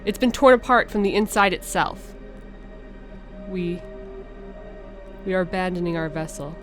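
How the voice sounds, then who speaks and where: A woman voicing an alien crew member who sends an urgent, halting distress call from a wrecked ship, with soft music behind. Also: fairly low pitch, natural voice. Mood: urgent pleading.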